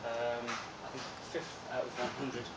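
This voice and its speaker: A soft-spoken young man giving an informal lecture, relaxed and chatty with frequent ums. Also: mid-range voice, moderate pace. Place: lecture room with projector, indoors. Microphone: far room camera. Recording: echoey room from far off with loud hiss.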